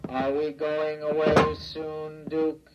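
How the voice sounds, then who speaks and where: An actor voicing a naive, obedient robot with a harsh, droning, childlike delivery in old radio drama. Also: male voice, fairly high pitch, slow pace. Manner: flat, literal, repetitive. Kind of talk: 1950s radio drama acting. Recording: dull old radio broadcast, faint music.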